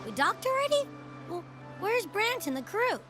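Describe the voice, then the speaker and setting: A woman's voice: extremely high pitch, very animated, normal speed, over music. A drowsy bewildered young woman. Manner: bewildered, just woken.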